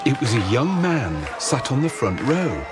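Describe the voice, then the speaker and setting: Reverent fan telling a story, dramatic, voice moves up n down, music under it. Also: male voice, medium pitch, sing-song, a little slow, a loud crowd. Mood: suspenseful. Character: earnest male fan sharing the storytelling, reverent. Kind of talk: radio play narration. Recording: music behind the voice.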